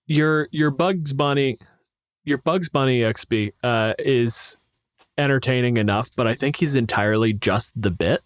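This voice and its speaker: A man's voice: mid-range voice, measured pace, no echo, studio quality. A man co-hosting a podcast in casual chat, explaining things in a wry, sweary way. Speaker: podcast co-host and gamemaster.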